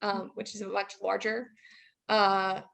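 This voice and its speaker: A woman's voice: medium pitch, level tone, measured pace, vocal fry, fairly bright tone, poor audio. A young woman, chatting casually as an interview guest. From Iowa, United States. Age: young adult. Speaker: guest, former speech student and teacher.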